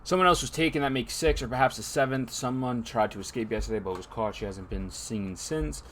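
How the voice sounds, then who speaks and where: A man's voice: medium pitch, a little fast, noisy background. A man streaming games in a laid-back, slangy way, reacting casually and reading text aloud.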